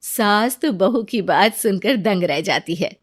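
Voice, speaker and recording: A woman's voice: medium pitch, lively intonation, a little slow, no room sound, studio quality. A woman narrating a scripted moral story as a storyteller.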